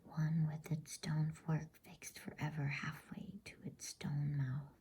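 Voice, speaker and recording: A woman reading a bedtime storybook aloud in a soothing, unhurried ASMR whisper. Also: deep voice, monotone, mellow, slightly rough.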